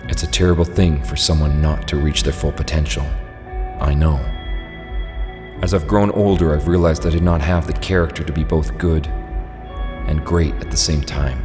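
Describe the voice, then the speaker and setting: A man voicing a grave, resolute scientist in a superhero comic dub, speaking in a solemn, measured way. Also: rumbling low, fairly even intonation. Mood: solemn, earnest. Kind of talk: dramatic comic dub voice acting. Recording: long hall reverb under loud music.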